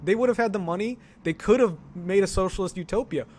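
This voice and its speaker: American male, twenties, higher-pitched voice, rambling and animated casual talker with halting delivery. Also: a little hiss or hum. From Ohio, United States. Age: 20s. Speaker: talk show participant discussing politics. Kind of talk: casual political chat.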